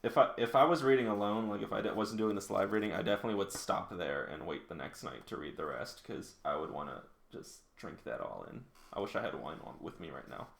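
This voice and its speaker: A man doing a live read-along, reacting to the story like an excited, gushing fan. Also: sits low, brisk, creaky, hardly any pauses. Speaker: manga read-along host. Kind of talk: live reading with reaction commentary. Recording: roomy, echoing indoor sound.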